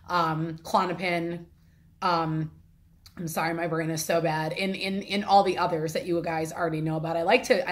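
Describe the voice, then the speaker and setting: Chatty woman vlogging from her kitchen. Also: lowish voice. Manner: rambling, apologetic, with many fillers and restarts. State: congested from a sinus infection. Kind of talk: casual talking-to-camera vlog. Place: home kitchen, indoors. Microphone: phone camera at arm's length.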